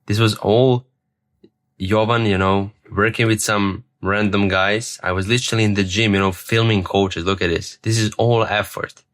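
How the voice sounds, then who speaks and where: A man's voice: on the low side, steady tone, moderate pace, fairly bright tone. Young man rambling his story. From Serbia. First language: Serbian. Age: young adult. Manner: rambling, repeats words, swears casually. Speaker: vlogger. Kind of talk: personal story to camera.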